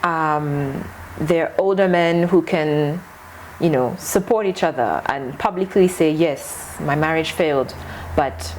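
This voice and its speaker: A woman's voice: sits low, takes it slowly, a little mellow, a little hiss or hum, low-quality recording. A woman speaking as a guest in a conversational discussion, thoughtful and earnest. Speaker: podcast guest.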